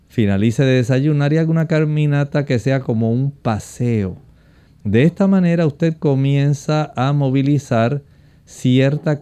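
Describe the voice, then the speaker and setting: A man calmly explaining nutrition and exercise on a call-in show, speaking directly to the listener. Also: mid-range voice, clear, resonant tone. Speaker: health expert guest. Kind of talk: explaining to callers.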